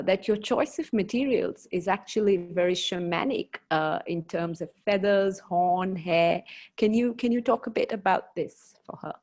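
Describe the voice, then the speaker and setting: A woman moderating an online talk, calmly reading out audience questions and adding her own comments as a writer. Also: lowish voice. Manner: measured, relaying questions politely.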